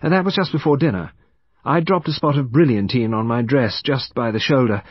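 Man voicing a brisk young woman, pitched high. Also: slightly rough, no room sound, lo-fi sound, natural voice. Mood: explaining, defensive. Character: brisk, defensive young upper-class married woman. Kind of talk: audiobook character voice.

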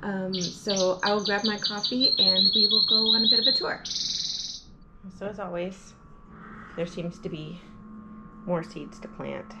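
A woman's voice: medium pitch, steady tone, unhurried, long pauses, dull audio. A woman in her thirties hosting a gardening channel, giving a casual, upbeat tour of her indoor plants. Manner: chatty and upbeat, walking through her plants. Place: house interior, rooms and furnace room. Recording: noisy home room with furnace hum.

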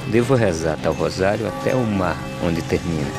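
Animated verse drama: weary wanderer, lowish pitch, solemn rhythmic lines, music underneath. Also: male voice. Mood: solemn, resolved. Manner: measured, solemn, rhythmic delivery with falling refrains. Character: solemn male drought migrant, questioning, weary, plain-spoken.

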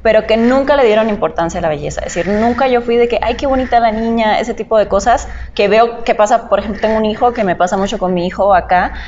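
A woman's voice: a little high, fast, few pauses, darkish tone, muffled recording, a little hiss or hum. A young woman from Cuba talking casually in a podcast interview, explaining her thoughts in a reflective way. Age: young adult. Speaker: podcast guest, model. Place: indoor podcast set at a table.

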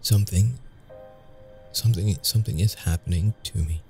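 A deep-voiced, brooding male vampire, acted by a man for an ASMR roleplay, wounded yet seductive. He speaks in a dark, mellow tone with heavy gravel, keeping it intimate and guarded. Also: soft background music. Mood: haunted, wondering. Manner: slow, halting, intimate delivery. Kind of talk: ASMR audio roleplay acting.

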